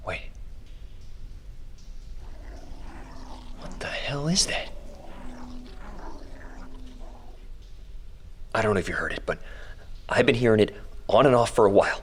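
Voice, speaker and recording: Guy playing nervous trapped dude talking to himself, halting, bit of background noise, audio drama. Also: lowish voice, expressive, lightly acted. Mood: wary, fearful. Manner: halting, musing aloud, self-questioning. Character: anxious captive man exploring an underground maze. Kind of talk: audio diary monologue in fiction podcast drama. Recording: noisy ambient background.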